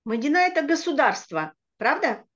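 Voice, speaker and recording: A woman's voice: high voice, sing-song, a little fast, a bit bright. A middle-aged woman teaching a children's reading lesson over a video call, explaining word by word with patience. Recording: dull lo-fi video call audio.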